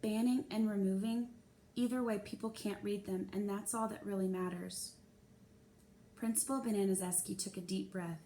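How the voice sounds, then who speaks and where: A woman's voice: medium pitch, steady tone, normal speed, mellow, a little hiss or hum. A woman reading a children's novel aloud expressively, narrating in the first person. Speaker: storybook reader.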